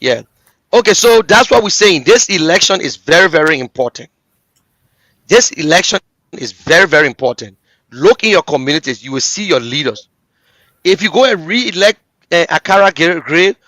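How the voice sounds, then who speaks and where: A man's voice: high voice, fairly bright tone, dry recording. A man with a Liberian English accent giving passionate political commentary as a talk show host, repeating lines and addressing listeners directly. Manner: impassioned, repetitive, rhetorical address to listeners.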